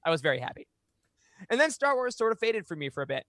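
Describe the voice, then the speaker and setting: A man in his thirties with a notably high voice presents a fan podcast. He speaks emphatically and with animation. The sound is dry and close on a studio mic. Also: sing-song, fast talker, bright, forceful tone, dry recording. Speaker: podcast host. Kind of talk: reading aloud and hosting a fan podcast. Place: small home room, indoors. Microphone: close studio microphone on boom arm.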